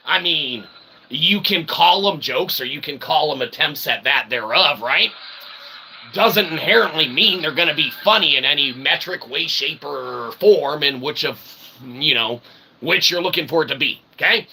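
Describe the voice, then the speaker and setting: Bright, forceful man rambling with animated pitch. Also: moderate pace, a little hiss or hum, rough recording. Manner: wordy mock-formal roundabout phrasing; often tags sentences with "okay" and "right". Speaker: livestream host. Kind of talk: rambling improvised livestream chat with viewers.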